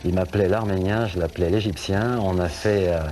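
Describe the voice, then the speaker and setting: Middle-aged male singer from France, very low voice, rambling anecdotal guest, music bed. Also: steady tone, a little fast, dark tone. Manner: rambling, anecdotal, with many hesitations. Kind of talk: chatty interview talk.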